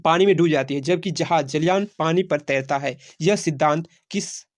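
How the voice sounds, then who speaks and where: A man's voice: a little high, moderate pace. A man teaching a quiz-style lesson, repeating phrases and answering his own questions. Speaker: teacher of exam-prep science class.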